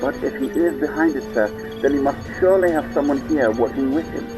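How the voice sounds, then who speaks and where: A man's voice: a little high, expressive, a little fast, low-quality recording. A man playing a loyal, polite butler in an audio drama, deferential and attentive toward his master. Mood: worried. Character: loyal, courteous, concerned male butler to a vigilante. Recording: music under the voice.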